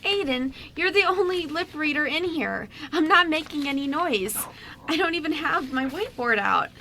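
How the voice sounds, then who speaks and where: Radio drama role: supportive young female best friend, acted by a woman, high bright voice, sweet and encouraging. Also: animated, moderate pace, a little hiss or hum, heavily acted. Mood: excited, teasing. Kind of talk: amateur radio drama script reading.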